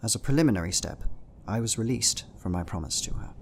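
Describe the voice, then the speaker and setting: A man's voice: lowish voice, lively intonation, fast talker, a little hiss or hum. An actor playing a weary young Englishman, solemnly reading his journal in a Gothic audio drama. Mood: anxious resolve. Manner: solemn, literary narration. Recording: music behind the voice. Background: plucked string music.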